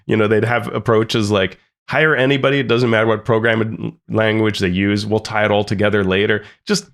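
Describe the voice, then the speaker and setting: Low-voiced guy on a podcast, earnest, kinda critical, trips over words. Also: flat delivery, brisk, fairly bright tone. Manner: earnest, critical, many restarts. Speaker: podcast co-host. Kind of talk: casual discussion.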